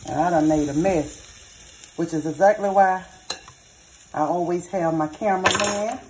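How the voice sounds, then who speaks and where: A hoarse woman chatting through a cooking vlog, addressing viewers as y'all and savoring bites with lots of hums. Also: on the low side, a little slow, background noise. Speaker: cooking vlogger. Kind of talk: casual cooking vlog with tasting. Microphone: phone or camera mic, recording alone in a kitchen.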